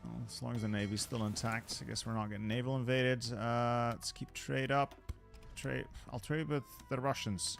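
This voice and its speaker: A man's voice: mid-range voice, steady tone, moderate pace, gravelly, faint music behind. A man hosting a gaming channel, giving wry, playful live commentary on a strategy game, his voice slightly worn. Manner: wry, playful, jokey asides.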